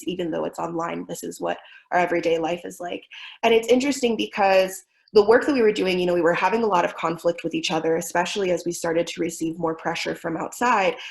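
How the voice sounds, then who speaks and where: A woman's voice: on the low side, vocal fry. A young woman from Miami presenting a webinar in an earnest, thoughtful way with frequent fillers. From Miami, United States.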